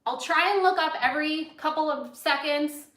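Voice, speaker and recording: Bright, chatty American woman in an echoey room. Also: high voice, moderate pace, a little hiss or hum. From United States, grew up in Los Angeles. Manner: chatty, rambling, often drifting into asides. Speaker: host of a cooking livestream, chef. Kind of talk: casual livestream chat while cooking. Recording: roomy, echoey indoor sound.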